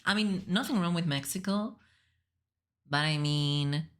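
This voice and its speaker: A woman's voice: on the low side, unhurried. A woman from Spain, a native Spanish speaker with a Spanish accent, giving chatty, teasing reaction commentary as a streamer. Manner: chatty, teasing, laughs often. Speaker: VTuber streamer.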